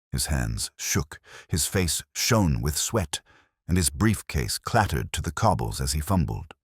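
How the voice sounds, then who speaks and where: A man with a very deep voice narrates a detective story from a script. His pitch moves in lively sweeps from that low base, and the sound is dry and close. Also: slightly rough, no echo. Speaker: audiobook narrator.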